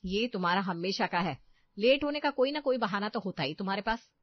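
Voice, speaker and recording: A woman performs a bossy housewife from a family storybook tale. She is haughty and quick to scold, in a mid-pitched voice. The sound is dry and a little dull. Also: expressive, no room sound, natural voice. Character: bossy middle-class housewife, skeptical employer of a maid.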